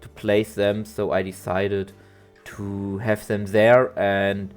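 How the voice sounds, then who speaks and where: A man, a bit nasal from allergies, doing a halting voiceover for a game build video with lots of ums and restarts. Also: lowish voice, level tone. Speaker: zoo-building game creator. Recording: soft guitar music behind.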